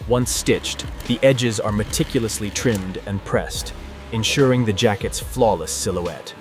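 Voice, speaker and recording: A man's voice: on the low side, a little slow, over music. A man reading scripted narration for a factory documentary.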